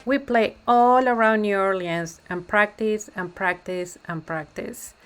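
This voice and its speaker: A woman narrates a children's storybook in a read-aloud style. Her voice sits at a medium pitch, with music playing faintly behind it. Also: steady tone, measured pace. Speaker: narrator reading a picture book aloud.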